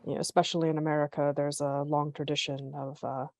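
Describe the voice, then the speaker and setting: A woman's voice: low voice, little pitch movement, fast talker, a little mellow. A woman hosting a podcast interview, enthusiastic and appreciative, with many "you know" fillers. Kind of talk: conversational interview.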